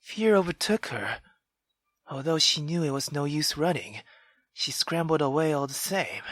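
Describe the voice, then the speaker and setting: A woman reading a visual novel aloud as a let's player, in an expressive, dramatic manner. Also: low voice, moderate pace, dry recording.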